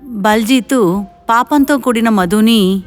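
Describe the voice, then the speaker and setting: A woman's voice: fairly high pitch, takes it slowly, soft background music. A woman narrator reading a scripted moral story.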